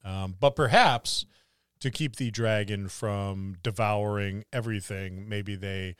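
A man's voice: lowish voice, slow pace, clear, resonant tone, dry recording, studio quality. A man co-hosting a podcast, chatting casually about tabletop gaming in a rambling, musing way. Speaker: podcast co-host.

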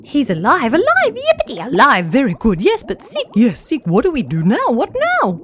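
A woman voicing a group of excitable little fish who chatter in a panic. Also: high-pitched, moderate pace, a little hiss or hum. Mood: giddy.

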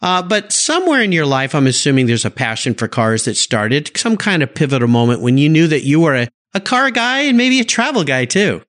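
A man's voice: fairly high pitch, very animated, fairly quick, few pauses, fairly bright tone. A man from San Diego hosting a car podcast, eagerly praising and questioning his guest. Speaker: podcast host. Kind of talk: interview podcast.

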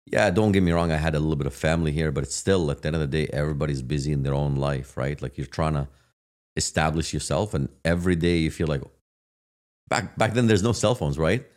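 A raspy-voiced man, a guest telling his story in a loose, reflective way with many fillers. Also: a bass voice, fast, darkish tone. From Palestine. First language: Arabic. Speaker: guest, real estate agent. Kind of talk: casual interview chat.

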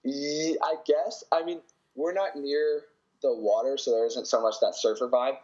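A young man from Canada, a college volleyball player, answering interview questions in a relaxed way with many fillers. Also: mid-range voice, moderate pace, lo-fi sound. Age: young adult.

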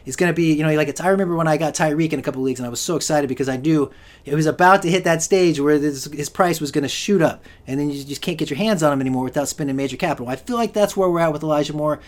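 A man's voice: a little high, racing. A man hosting a solo fantasy football podcast, rambling with lots of restarts and fillers, and he is losing his voice. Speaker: podcast host.

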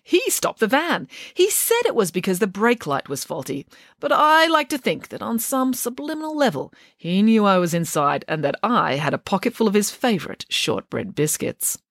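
A woman's voice: medium pitch, very animated, moderate pace, fairly bright tone, dry recording, clean studio sound, voice pushed up. A woman voicing a bold, mischievous nanny who talks in an indignant, proper way. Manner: indignant, proper, grandly self-assured.

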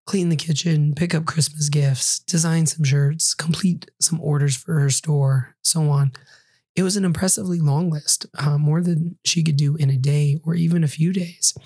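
A hoarse man hosts his own podcast, reviewing a book while still getting his voice back. His voice is raspy and dark, with some words slipping into a breathy half-whisper. The recording is close and dry. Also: on the high side, fairly even intonation, normal speed, dry recording. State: hoarse, recovering from losing his voice. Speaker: podcast host.

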